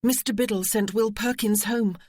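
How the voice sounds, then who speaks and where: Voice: medium pitch, steady tone, normal speed, darkish tone, pitched above the performer's own voice, natural voice. A narrator voicing an anxious young lady companion, explaining in a breathless rush. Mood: upset.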